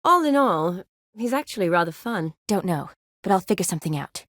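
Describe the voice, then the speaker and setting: An actress playing a kind, spirited young woman medic in a video game, teasing and wistful, speaking in an expressive, conversational way. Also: on the low side, fairly quick, dry recording, clean studio sound. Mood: warm, lively. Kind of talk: video game dialogue acting.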